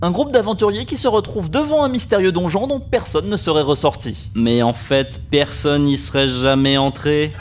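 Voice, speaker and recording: A man's voice: on the high side, normal speed, slight background noise, close to an everyday voice. A man playing a fussy, eager start-up brainstormer in a comic audio drama, pedantic in manner.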